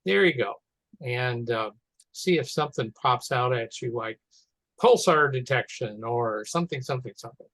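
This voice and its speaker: A man's voice: medium pitch, animated, normal speed, a bit bright, dry recording, rough recording. Man, offhand, with a dry close sound. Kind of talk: casual informal explanation. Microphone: teleconference call.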